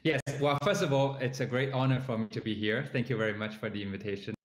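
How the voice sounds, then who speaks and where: A man from southern China speaks English with a Chinese accent as an entrepreneur guest. He talks hesitantly, with many fillers and restarts, at a middle pitch. The room sounds echoey. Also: fairly even intonation, quick pace. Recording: roomy sound.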